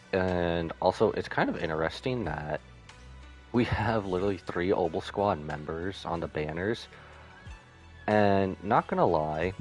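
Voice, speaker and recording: Male game streamer, very low voice with animated intonation, casual rambling commentary. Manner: rambling, drifts into asides. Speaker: live-streamer.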